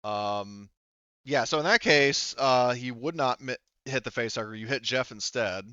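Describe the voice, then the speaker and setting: A man running an online tabletop roleplaying game as game master, talking haltingly as he thinks aloud through the rules. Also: medium pitch, pushed and bright, clean tone, no room sound, dull audio.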